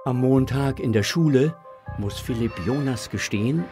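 A man's voice: mid-range voice, moderate pace, music in the background, natural voice. A man narrating a scripted audio drama for children.

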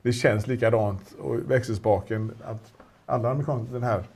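A man's voice: medium pitch, a little mellow, breathy edge. A man casually chatting as an auction presenter, rambling with many restarts and fillers. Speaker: auction specialist presenting a car. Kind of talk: casual chat.

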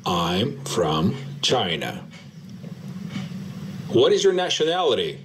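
A man with a Brazilian Portuguese accent teaching English, modelling short answers slowly and repeating them for practice. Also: on the low side, wildly swooping pitch, noisy background. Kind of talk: slow drill practice lesson.

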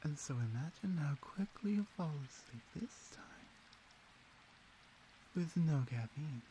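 Woman voicing a soft, low orc huntress. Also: animated, measured pace, a little hiss or hum, rough recording. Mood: tender, soothing. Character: dominant yet caring orc huntress sister, female. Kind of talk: improvised ASMR roleplay, spoken to the listener.

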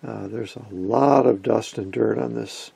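A man giving a casual, colloquial technical explanation as host of a repair video, talking haltingly. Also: on the low side, creaky, dark tone, slight background noise.

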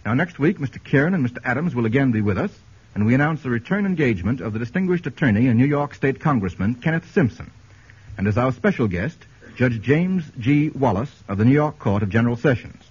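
A man's voice: mid-range voice, expressive, quick pace, a little mellow, slightly rough. A man hosting a radio quiz show in the style of the 1940s. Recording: muffled, scratchy 1940s radio broadcast.

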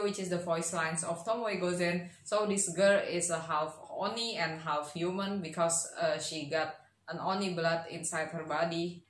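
A young woman giving casual commentary as a reaction video host, seated indoors at a desk microphone. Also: lowish voice. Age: young adult. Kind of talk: casual commentary on game voice lines. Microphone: desk microphone, close. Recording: roomy echo, game music behind.

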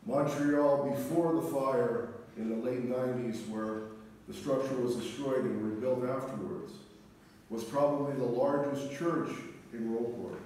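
A middle-aged man, an Orthodox priest, preaching a sermon in a solemn, deliberate manner with measured pauses. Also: mid-range voice, a little mellow. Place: large church interior, speaking from the front. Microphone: distant camera microphone. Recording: echoey church, distant mic, faint noise.

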